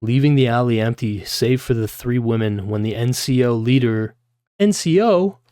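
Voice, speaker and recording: A man's voice: medium pitch, normal speed, dark tone, smooth, no echo, studio quality. A man livestreaming, reading a novel aloud and breaking off into informal, puzzled asides with some swearing.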